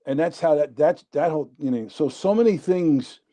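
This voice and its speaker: A man's voice: on the high side, normal speed. A man in his sixties with a New York accent, telling rambling stories as a guest in a casual talk. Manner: rambling, animated storyteller. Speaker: interview guest. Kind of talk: casual storytelling.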